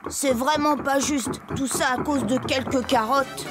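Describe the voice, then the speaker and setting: A woman's voice: high-pitched, fairly even intonation, a little slow, music in the background. A woman voicing a childlike, eager, sometimes sulky talking tractor in a children's cartoon.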